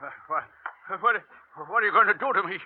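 A man playing a scheming older uncle, a husky-voiced villain in an old-time radio western. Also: sits high, animated, fast. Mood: cornered, pleading. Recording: dull vintage radio recording.

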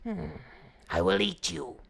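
A fierce and dishonest tiger from an old folk tale, voiced by a woman storyteller. The voice is low and gravelly, snarling with hunger. Words are stretched out slowly with menace. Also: wildly swooping pitch, fairly bright tone, exaggerated voice. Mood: hungry menace.

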